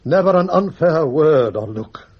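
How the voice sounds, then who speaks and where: A fretful, pedantic judge in a radio play. His Received Pronunciation voice sits fairly high and swings in lively, exclamatory turns, in a slightly muffled recording. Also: unhurried, husky. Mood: fretful brooding. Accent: English Received Pronunciation. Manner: fussy, exclamatory, brooding. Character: fretful, pedantic male judge, anxious and pompous. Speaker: actor in a radio drama. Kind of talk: BBC radio drama acting.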